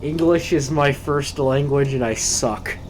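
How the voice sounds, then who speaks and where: A teenage boy co-hosting a casual chat, hesitant with dry deadpan quips. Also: medium pitch, measured pace. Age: teens. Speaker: co-host. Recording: noisy background.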